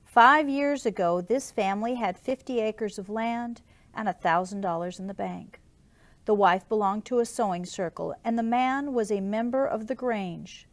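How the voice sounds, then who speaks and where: A woman reading scripted nonfiction aloud like an audiobook, with measured, deliberate phrasing. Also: medium pitch. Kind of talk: audiobook-style scripted narration.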